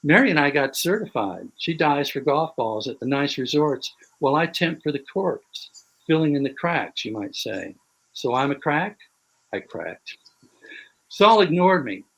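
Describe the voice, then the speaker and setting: A man reads his own prose aloud at a measured, dry pace. His voice sits a touch high for a man. It has the sound of a video call. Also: very animated, breathy edge, rough recording. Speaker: author reading his fiction. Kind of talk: prose reading.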